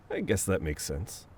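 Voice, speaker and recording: A man's voice: sits low, vocal fry, a little hiss or hum, heavily acted. A man playing a caring, teasing, dominant best friend in an intimate audio roleplay, talking casually and conversationally. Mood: playful, relaxed. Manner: casual, conversational, with soft chuckles and hesitations.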